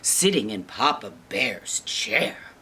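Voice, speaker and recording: A woman's voice: very deep voice, very animated, slow pace, bright, forceful tone, a little hiss or hum, voice pushed down, full character voice. Woman playing a blustering, emphatic papa bear. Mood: outraged. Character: big papa bear, blustering, puzzled head of family.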